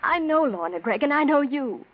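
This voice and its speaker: A woman's voice: sits high, expressive, moderate pace. An actress playing a scheming, passionate woman with a theatrical, imperious manner in a 1940s radio drama. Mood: ardent. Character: scheming, passionate woman in love with her cousin's fiance. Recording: dull vintage radio recording.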